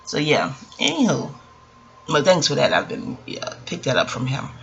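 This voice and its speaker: Female vlogger, forties, hushed and gravelly, hesitant reflective chat, muffled recording with faint noise. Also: low-pitched, wildly swooping pitch, normal speed. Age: 40s. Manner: hushed, keeping voice down so as not to wake others; hesitant, digressive. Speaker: video channel host. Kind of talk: rambling reflective casual chat to viewers. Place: at home, quiet dark room. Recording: dull lo-fi audio with faint background noise.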